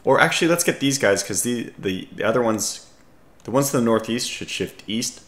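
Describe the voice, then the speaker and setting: A man with a scratchy voice giving casual strategy game commentary, calmly thinking aloud as he analyses his options. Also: medium pitch, normal speed, reverberant. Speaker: gaming video host.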